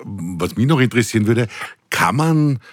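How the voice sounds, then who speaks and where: A man's voice: fairly low pitch, expressive, normal speed, no room sound, studio quality. A man with an Austrian accent asking questions conversationally as an interviewer.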